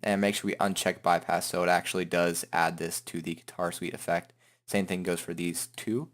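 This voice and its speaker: A man hosting a software tutorial in an informal, joking, self-deprecating way. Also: deep voice, flat delivery, normal speed. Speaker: tutorial host. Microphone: headset microphone.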